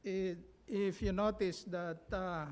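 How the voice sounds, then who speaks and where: A man with a Filipino accent giving a mission trip report to his church, halting with many fillers and sounding sluggish from jet lag. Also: high voice, steady tone, darkish tone, clean tone. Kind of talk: church announcement talk. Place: church sanctuary.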